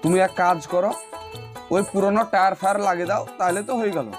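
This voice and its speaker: A man's voice: high voice, fairly even intonation, fast, soft background music, natural voice. A man playing a hard-pressed, henpecked husband in an amateur family drama, in a plaintive, colloquial manner. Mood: haggling, worried. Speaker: actor.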